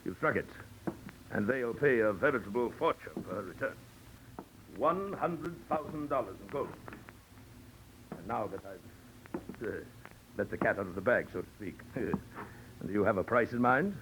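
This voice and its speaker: Pompous, low-voiced gentleman villain. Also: lively intonation, a little slow, long pauses. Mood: insistent. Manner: florid, grandiloquent, mock-courteous. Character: pompous titled big-game hunter villain, suave and menacing. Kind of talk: 1940s radio drama acting. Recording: noisy old 1940s radio recording.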